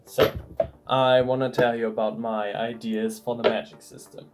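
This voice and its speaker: A German man with a German accent, a game designer vlogging from his home workshop in a relaxed way, thinking aloud with frequent ums. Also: mid-range voice, normal speed, very noisy. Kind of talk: casual vlog talk to camera.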